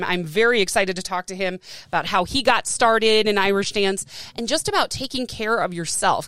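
An American woman with a clear, resonant voice talks casually as a podcast host. Her delivery is informal and enthusiastic, with a self-deprecating streak. The sound is dry and close. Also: mid-range voice, a bit bright, no echo. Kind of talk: casual chatty solo podcast talk.

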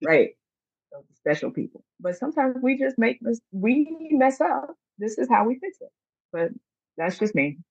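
A woman's voice: fairly high pitch, big pitch swings, normal speed, gravelly, a little mellow, no room sound, highs cut off. A woman math teacher speaking earnestly and emphatically on a panel discussion, telling stories about her students.

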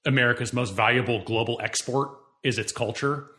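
A man hosts the conversation, framing his questions with care. His speech is articulate and reflective, with a steady, mid-range voice. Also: medium pitch, fairly quick. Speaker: interviewer. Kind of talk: thoughtful interview questions.

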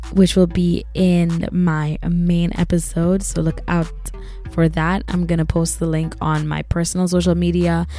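A young woman in her twenties hosting a solo podcast, husky and raspy from losing her voice, chatting casually and giving advice. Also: low-pitched, mellow. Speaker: podcast host.

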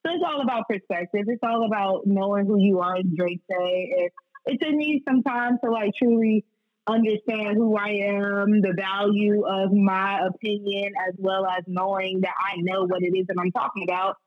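A woman's voice: medium pitch, fairly even intonation, normal speed, dry recording, phone-quality sound. A woman speaking candidly and slangily as a guest sports reporter in a casual podcast conversation.

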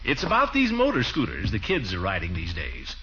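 Blustering, swooping radio uncle. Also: male voice, on the low side, huge pitch swings, fairly quick. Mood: casually scheming. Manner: blustering, hesitant, chuckling. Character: blustering, flirtatious middle-aged uncle, pompous and easily flustered. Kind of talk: 1940s radio comedy acting. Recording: muffled, noisy 1940s radio recording.

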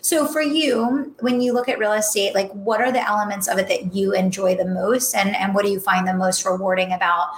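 A woman's voice: medium pitch, fairly even intonation, brisk, few pauses. A woman in her thirties hosting an interview, enthusiastic and affirming, often agreeing and praising her guest. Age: 30s. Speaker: podcast host. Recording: echoey room with faint noise.

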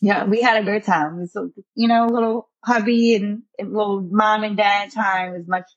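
A woman's voice: mid-range voice, fairly even intonation, normal speed, dry recording, lo-fi sound. A young adult married woman co-hosting a podcast, chatting easily and saying "you know" a lot. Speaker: podcast co-host. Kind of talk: casual podcast chat.

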